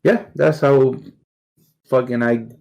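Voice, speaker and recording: A man's voice: mid-range voice, fairly even intonation, takes it slowly, vocal fry, a little mellow, dull audio. A middle-aged man from Mexico with a Mexican accent, guesting on a podcast and telling stories casually, swearing freely and laughing easily. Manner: laid-back, swears constantly, laughs easily. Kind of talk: casual storytelling interview.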